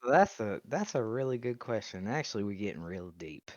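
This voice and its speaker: Loud young guy on a muffled call. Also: fairly low pitch, moderate pace, dry recording. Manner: loud, animated storytelling with laughs. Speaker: YouTuber, interviewee. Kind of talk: casual interview chat. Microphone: video call mic. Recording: muffled, dry video call audio.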